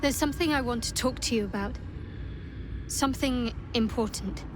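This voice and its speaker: A woman's voice: on the high side, normal speed, music in the background, natural voice. An actress playing a guarded, devout young woman cleric in a fantasy video game, speaking earnestly and solemnly. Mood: earnest, confiding. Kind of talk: video game character dialogue. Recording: clear ambient noise behind the voice.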